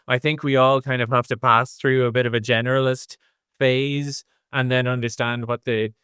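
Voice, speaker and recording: A middle-aged man with a slightly low, smooth voice talks casually as an interview guest. He thinks aloud, circling through long explanations with hedges along the way. The sound is dry and clean. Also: flat delivery, normal speed, fairly bright tone, dry recording. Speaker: interview guest, design executive.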